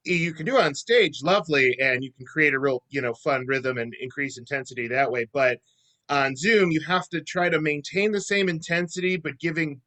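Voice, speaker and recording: A man's voice: a little high, moderate pace, sharp tone. A man, an actor, answering questions conversationally as a guest on a video call.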